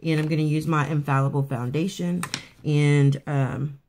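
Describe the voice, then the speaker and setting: A woman with a raspy morning voice casually talking through a quick makeup routine, rambling a bit with fillers and restarts. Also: deep voice, steady tone, noisy background. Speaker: beauty vlogger. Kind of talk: casual makeup tutorial chat. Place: at home getting ready for work.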